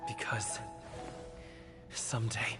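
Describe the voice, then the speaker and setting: A man's voice: slow, echoey room, natural voice. A man playing a brooding fantasy game combatant with a dramatic, declamatory manner. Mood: brooding, solemn. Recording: loud music over the voice.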